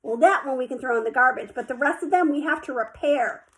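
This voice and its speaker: A woman voicing a hot-tempered young girl who shouts at her friends. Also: high-pitched, quick pace, natural voice. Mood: worried. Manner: shouting, exasperated.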